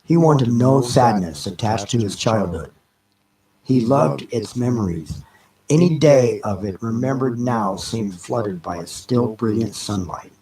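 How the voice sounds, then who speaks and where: A man reading a novel aloud as a narrator, in a measured, dramatic audiobook style with deliberate pauses between phrases. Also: medium pitch, expressive, crowd noise, poor audio.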